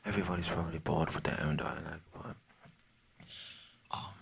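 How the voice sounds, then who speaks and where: An American man putting on a Cockney British accent for a rambling, soft-spoken, partly whispered ASMR chat that repeats and trails off. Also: extremely low pitch, fairly even intonation, moderate pace, vocal fry, husky. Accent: put-on Cockney British. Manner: meandering, repetitive, often trailing off. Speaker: ASMR video creator.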